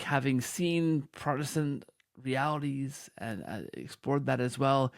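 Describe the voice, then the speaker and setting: A man's voice: a little high, no echo, clean studio sound. A man hosting a conversational interview, feeling his way through long questions with repeated words and restarts. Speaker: podcast host interviewer.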